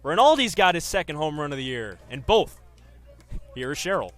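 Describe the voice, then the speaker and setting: Young man, bright sports announcer voice. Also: a little high, sing-song, normal speed, soft background music. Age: 20s. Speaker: sports reporter and baseball radio play-by-play announcer. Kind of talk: sports reporting and live baseball commentary.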